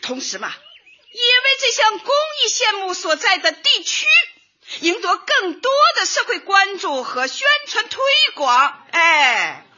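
An actress playing a cheerful, chatty grandmother from Shanghai in her late sixties, effusive and drawn-out, in a comic radio drama. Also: very high voice, sing-song, bright, forceful tone, dull audio, exaggerated voice. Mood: earnest, cheerful. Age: 60s. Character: cheerful chatty 68-year-old Shanghai grandmother, retired culture worker.

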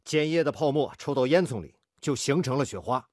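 A man's voice: mid-range voice, expressive, a little fast, a little mellow, dry recording, studio quality, natural voice. An actor playing a firm, capable steel plant foreman in a radio drama, decisive and polite.

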